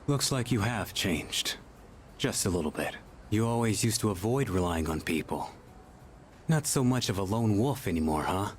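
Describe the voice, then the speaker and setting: An actor playing a brooding, blunt delinquent high school boy in a video game, gruff and curt. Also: expressive, normal speed, slight background noise, natural voice. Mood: wry.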